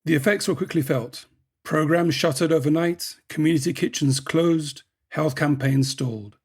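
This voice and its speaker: A man hosting a podcast, reading scripted narration in a measured way and putting questions to a guest. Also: a little high, husky. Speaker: podcast host and editor.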